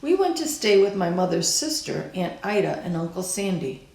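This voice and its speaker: A woman reading a children's picture book aloud in a deliberate, expressive storytelling style. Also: on the low side, gravelly, roomy sound. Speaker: storybook reader.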